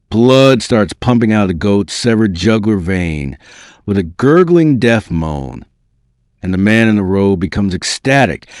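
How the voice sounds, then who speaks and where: A man's voice: fairly low pitch, a little mellow, no echo, studio quality. A man reading aloud as a narrator, in a dramatic scripted audiobook style. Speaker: narrator reading a book excerpt.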